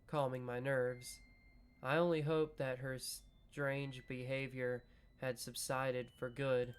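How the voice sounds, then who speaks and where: A man reading a visual novel aloud in a slow, measured way, breaking off into joking asides about his choices. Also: medium pitch, clean tone. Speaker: gaming video creator.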